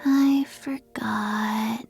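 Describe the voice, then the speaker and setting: A woman voicing a small, solemn zombie girl in a video game, with a halting, blank, deadpan delivery. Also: on the high side, creaky, faint music behind, exaggerated voice. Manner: slow, halting, flat deadpan. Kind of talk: video game character acting.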